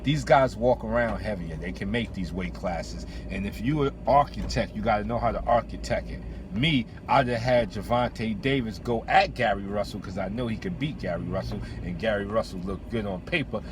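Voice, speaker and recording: A man's voice: lowish voice, moderate pace, few pauses, noisy background. A man talking boxing as a host, casual, opinionated and slangy, repeating phrases for emphasis. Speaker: host giving boxing commentary. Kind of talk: casual opinionated sports talk. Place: in or beside a vehicle.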